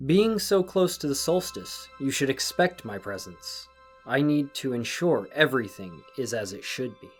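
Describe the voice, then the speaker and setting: Sinister go-between, played by a man: medium pitch, superior, controlled, quietly threatening, audio drama with light music. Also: animated, normal speed, lightly acted. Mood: coolly amused. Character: stealthy, condescending male enforcer of a magical benefactor.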